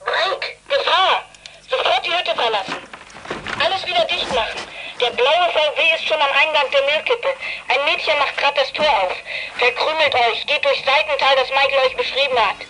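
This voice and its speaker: A woman's voice: sits high, moderate pace. A female performer playing an alert child lookout in a radio play, urgent and clipped through a walkie-talkie filter. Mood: alarmed. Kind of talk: 1980s German youth radio play acting. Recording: noisy, crackly radio transmission.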